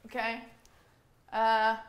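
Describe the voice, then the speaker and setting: A woman's voice: a little high, monotone, drawn-out words. A woman co-hosting a casual livestream, playful and asking teasing questions. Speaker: streamer co-host. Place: home kitchen.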